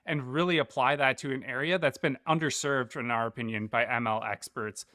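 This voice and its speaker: A man's voice: mid-range voice, fairly even intonation, brisk, bright, forceful tone, no room sound, clean studio sound. A man speaking as a startup co-founder and interview guest, explaining his work in conversational business talk.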